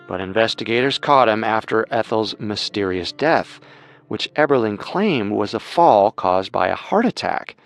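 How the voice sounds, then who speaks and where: A man's voice: lowish voice, expressive, normal speed, vocal fry. A man reading scripted true-crime narration. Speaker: narrator, co-host. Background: music.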